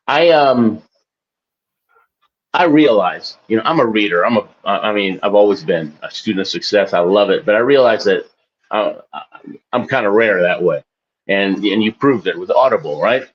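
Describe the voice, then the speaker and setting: A man's voice: fairly low pitch, lively intonation, normal speed, breathy edge, muffled recording. A man in his forties, a guest in an interview, talking casually in a rambling, self-deprecating way and laughing often. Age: 40s. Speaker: guest, Olympic athlete and author.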